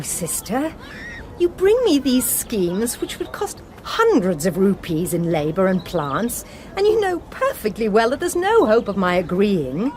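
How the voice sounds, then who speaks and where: Stern nun superior, crisp, reproving, animated pitch, faint hiss. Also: female voice. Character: firm, proud nun superior.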